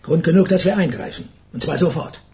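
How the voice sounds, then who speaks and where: An actor playing an impatient, pompous FBI department chief in a radio play, curt and hectoring. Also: male voice, on the high side, animated, a little fast, darkish tone. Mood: insistent.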